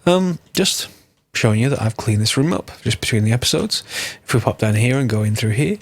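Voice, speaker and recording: A man, slightly hoarse from a cold, giving a casual game tutorial and thinking aloud as he corrects himself. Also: mid-range voice, animated, fairly quick.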